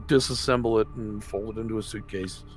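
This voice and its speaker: A man's voice: lowish voice, steady tone, fairly quick, soft background music, poor audio. Profane, opinionated man playing a tabletop game. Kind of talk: casual banter in a tabletop game.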